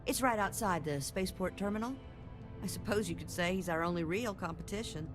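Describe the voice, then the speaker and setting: A woman's voice: fairly low pitch, a little fast, over music, lightly acted. Polite, weary woman playing an older shopkeeper. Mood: weary, apologetic. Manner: courteous, gently complaining. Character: polite, weary older female general-store keeper.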